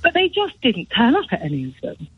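A woman on a radio show tells showbiz news in a chatty, gossipy way, her pitch swinging widely. Her voice is husky and dry. The line sounds dull and lo-fi. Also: huge pitch swings, a little fast, dry recording. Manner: chatty, gossipy, many restarts. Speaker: showbiz editor guest. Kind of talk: casual radio chat.

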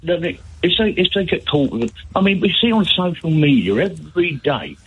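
An older man in his seventies calls in over the phone, his voice rough and husky. He talks bluntly, his pitch rising and falling a lot, and he circles back over his words as he pushes his view. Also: brisk, highs cut off. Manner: blunt, animated, repeats himself. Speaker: caller. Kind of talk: phone-in radio call. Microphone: telephone.

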